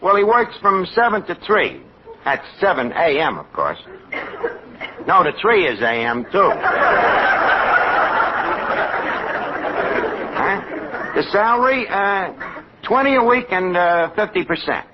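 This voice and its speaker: Brash New York barkeep, animated pitch. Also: male voice, moderate pace. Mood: businesslike, sly. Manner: mock-genteel, sarcastic, mangles grammar. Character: brash, wisecracking, uneducated male tavern manager with pretensions. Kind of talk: 1940s radio comedy acting. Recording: muffled, hissy old-time radio recording.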